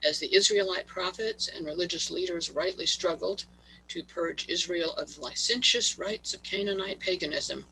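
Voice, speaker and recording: A man giving a prepared talk over a video call, reading haltingly and stumbling on words, his voice worn from allergies. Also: high-pitched, gravelly, bright, forceful tone, slight background noise, rough recording. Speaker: guest presenter.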